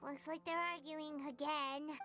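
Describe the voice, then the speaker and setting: A woman's voice: high-pitched, steady tone, a little slow, pushed and bright, soft background music. A woman voicing a tiny, childlike, chirpy fairy companion in a cartoon-style video game. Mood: chirpy concern.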